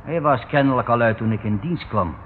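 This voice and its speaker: An actor in a radio drama playing a formal, dutiful doorman who speaks in a stiff, deferential, measured way. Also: medium pitch, lively intonation, natural voice. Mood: formal, discreet. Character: formal, dutiful doorman of a London residential building. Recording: tinny, noisy old radio recording.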